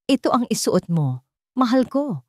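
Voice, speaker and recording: A woman with a Filipino accent voicing a wealthy, sweet-talking, controlling male suitor in an audiobook, softly persuasive. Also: fairly high pitch, sing-song, normal speed, no echo, clean studio sound, pitched above the performer's own voice. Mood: sweetly controlling. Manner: soft, affectionate, persuasive. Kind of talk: audiobook character dialogue.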